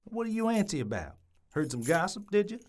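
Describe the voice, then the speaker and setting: A woman's voice: medium pitch, big pitch swings, a little fast, darkish tone, slight background noise, natural voice. A woman voicing a nosy, defensive small-town busybody man with a Southern US accent, in a comic audio drama. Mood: irritated, defensive.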